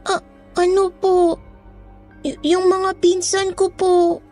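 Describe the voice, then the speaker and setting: A woman's voice: very high voice, monotone, slow pace, darkish tone, faint music behind. A woman playing a frightened, guarded little orphan child who speaks politely and hesitantly in an audio horror story.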